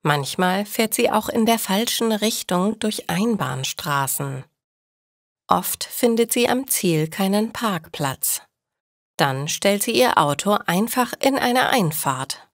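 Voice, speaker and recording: A woman narrates a simple story for language learners. She speaks slowly, with a lively melody, in a dry, close recording. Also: mid-range voice, no echo. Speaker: narrator of German learning stories. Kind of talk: slow, clear scripted story narration for learners.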